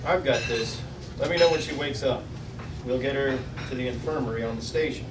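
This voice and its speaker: A man's voice: medium pitch, a little fast, lightly acted. A man reading as a stern space captain, distant and echoey. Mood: commanding. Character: gruff space ranger captain, stern, chauvinist commander. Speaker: actor at a table read. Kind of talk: 1950s space serial dialogue, read aloud. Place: busy restaurant table read. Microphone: distant camera microphone. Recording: echoey noisy restaurant, far from camera.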